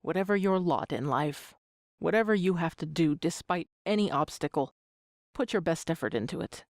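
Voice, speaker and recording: A woman's voice: fairly low pitch, animated, normal speed, darkish tone, dry recording, clean studio sound. A woman in her thirties answering interview questions as an amateur voice actor, with a joking, self-deprecating manner. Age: 30s.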